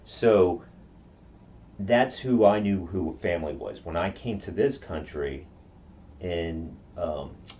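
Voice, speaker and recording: A man raised in Okinawa whose first language is Japanese, telling his story in a halting interview with many restarts. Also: sits low, lively intonation, darkish tone. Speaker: guest.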